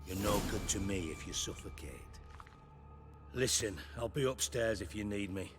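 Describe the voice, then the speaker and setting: An actor playing a gruff, cynical middle-aged crime boss and former spymaster in a video game, sardonic and blunt. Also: male voice, lowish voice, normal speed, natural voice. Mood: dry, resigned. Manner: sardonic, blunt, foul-mouthed. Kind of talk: dramatic video game dialogue. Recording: background music behind the voice.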